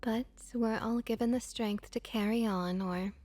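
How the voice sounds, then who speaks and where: A woman playing a friendly female vampire innkeeper and bartender in an ASMR roleplay, speaking softly and gently, often near a whisper. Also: a little high, steady tone. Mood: gently instructive. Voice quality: soft, breathy, often slipping into whispers. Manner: unhurried, gentle, reassuring.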